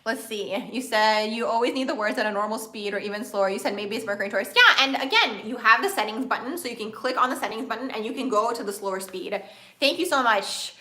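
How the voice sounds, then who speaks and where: A young woman hosting a casual astrology livestream, talking very fast and bubbly and filling her sentences with "like" as she answers viewer comments. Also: on the high side, pushed and bright, roomy sound. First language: another language first, grew up speaking English from age four. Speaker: astrology channel host.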